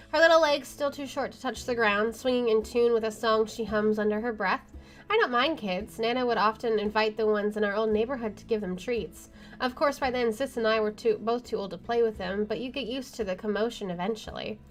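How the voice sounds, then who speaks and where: A woman reading a horror visual novel aloud as she plays, in dramatic first-person narration. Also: fairly high pitch. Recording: faint game music behind the voice. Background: faint game music.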